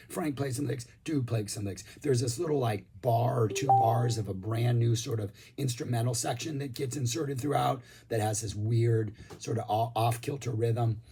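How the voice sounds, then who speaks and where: A hoarse man losing his voice, rambling enthusiastically about music in a casual review vlog, with lots of ums. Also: medium pitch, mellow, slight background noise. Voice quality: husky.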